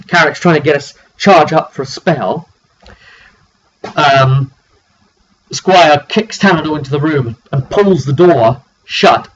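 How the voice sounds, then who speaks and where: Man recounting a tabletop game, lo-fi recording. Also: fairly high pitch. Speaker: game master. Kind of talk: informal recap of a tabletop roleplay session. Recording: dull lo-fi mic with background noise.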